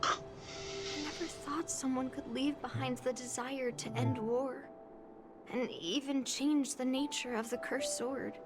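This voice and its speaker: An earnest, unhurried young swordswoman. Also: sits high, steady tone, over loud music. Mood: humbled, reflective. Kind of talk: scripted game voice acting.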